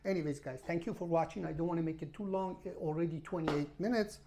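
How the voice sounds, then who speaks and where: Female travel vlogger, non-native English, mellow creaky voice, rambling and exasperated to camera. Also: fairly low pitch, fast talker. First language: not English. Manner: emphatic, repetitive, exasperated storytelling. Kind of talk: casual rambling rant to camera.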